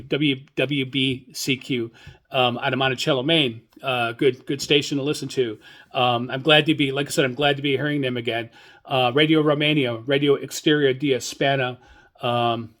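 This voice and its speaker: Halting, rambling man hosting a hobby show. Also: medium pitch, fairly bright tone. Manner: halting, rambling, repeats words. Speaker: radio hobbyist show host. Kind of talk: casual solo hobby talk.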